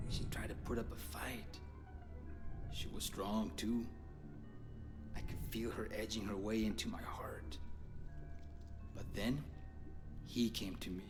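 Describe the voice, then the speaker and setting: Brooding Spanish-accented vampire in reverberant hall. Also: male voice, fairly low pitch. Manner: slow, brooding, drops into breathy whispers. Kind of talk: video game dramatic acting. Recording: long hall reverb under clear music.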